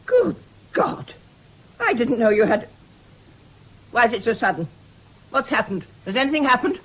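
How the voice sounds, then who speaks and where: An actress playing an imperious, sharp-tongued but generous older mistress in a 1970s radio drama, with brusque, commanding speech. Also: female voice, a little high, very animated, moderate pace, breathy edge, full character voice. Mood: shocked, wounded.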